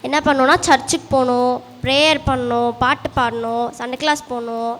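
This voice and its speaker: High-voiced woman playing a schoolteacher, echoey hall. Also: fairly even intonation, a little fast, lightly acted. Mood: gentle, earnest. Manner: exasperated questioning, chiding. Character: scolding, teasing schoolteacher, later gently consoling. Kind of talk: amateur church skit acting. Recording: echoey hall, distant, noisy room sound.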